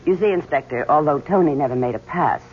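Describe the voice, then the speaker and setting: A woman's voice: low voice, animated, quick pace. An actress playing the cool, sardonic mistress of a murdered rich man, answering with evasive ease. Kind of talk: 1970s radio drama acting. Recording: dull old radio recording with faint hiss.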